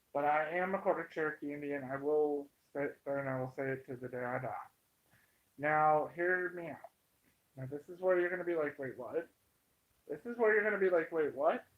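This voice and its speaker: An American man giving an informal, opinionated monologue to viewers, emphatic and halting, repeating himself to drive points home. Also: on the high side. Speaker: online commentator. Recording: dull, muffled lo-fi sound.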